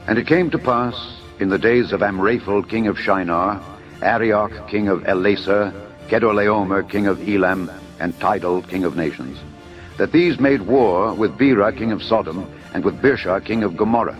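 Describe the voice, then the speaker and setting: Deep voice guy reading bible stuff dramatically, solemn n measured, music behind, bit muffled sound. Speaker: scripture narrator. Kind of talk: dramatised scripture narration. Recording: dull lo-fi audio under music. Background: background music.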